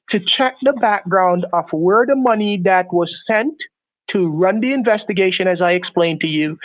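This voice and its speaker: A man on a phone call, speaking in halting accusations and repeating himself. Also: high-pitched, slight background noise. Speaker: phone scammer. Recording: tinny dry phone line.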